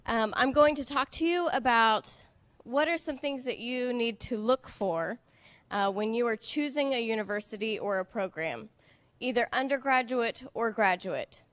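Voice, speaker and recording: A woman speaks slowly and clearly into a microphone, pausing to check her audience follows. Her voice sits a little high, and the sound is dry with little room echo. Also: lively intonation, a bit bright. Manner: clear, patient, checks understanding. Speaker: international student services director. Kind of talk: slow, simple presentation for English learners.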